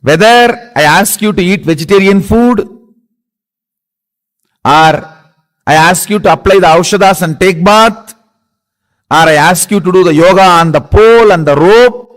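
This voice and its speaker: A man with an Indian accent giving a spiritual discourse as a guru, speaking emphatically in halting, short, repeated phrases. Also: high voice, low-quality recording. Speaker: spiritual guru teaching a course.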